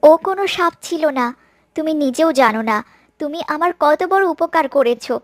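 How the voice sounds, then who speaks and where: A woman voicing a gentle, obedient, mistreated young daughter in an animated fairy-tale cartoon, speaking earnestly. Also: high-pitched, normal speed, no room sound, clean studio sound. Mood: proud, calm. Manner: pleading, earnest.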